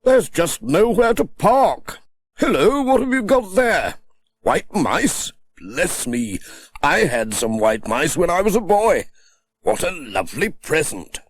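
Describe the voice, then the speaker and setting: Guy doing a excitable old-fashioned storybook vicar, preachy and hearty, voice pitched up. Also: slow pace, no echo, exaggerated voice. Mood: hearty, cheerful. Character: kindly, excitable male country vicar in a children's story.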